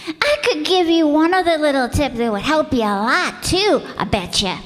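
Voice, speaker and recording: A pesky little neighborhood girl speaks high and swoopy in a reverberant hall. She is precocious and teasing, in the broad childlike manner of old-time radio comedy. She takes her time over each phrase. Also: expressive, normal speed. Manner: childish, teasing, repeating a cute catchphrase. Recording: echoey hall stage recording.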